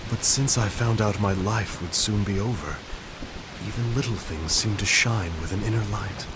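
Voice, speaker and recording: An actor playing a dying young composer, soft and reflective. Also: male voice, lowish voice, moderate pace, lightly acted. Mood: wistful. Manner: soft, reflective, searching delivery. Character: ailing young male composer, gentle and melancholic. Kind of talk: video game cutscene dialogue. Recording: hissy game audio capture.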